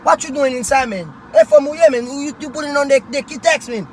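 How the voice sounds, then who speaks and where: A man's voice: very high voice, fairly quick, a little hiss or hum. A man playing a strict, nagging immigrant father who keeps repeating his son's name. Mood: scolding. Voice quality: heavy accent, repeats son's name constantly. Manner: nagging, exasperated, loud bursts. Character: strict immigrant father, middle-aged, scolding. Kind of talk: comedy skit.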